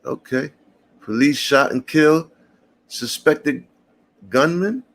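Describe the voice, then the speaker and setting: A man, chatting casually to passersby and viewers in a relaxed morning vlog, calling everyone brother and man. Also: fairly high pitch, slow. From Puerto Rican family. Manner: laid-back, slangy, calls people brother and man. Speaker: vlogger.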